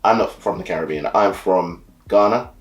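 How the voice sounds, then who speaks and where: A man born and raised in the UK who leads a carnival band, explaining carnival in a relaxed, easygoing way, often saying "you know" and "so yeah". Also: deep voice, moderate pace. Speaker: carnival band leader and soca DJ. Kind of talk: casual explainer talk to camera.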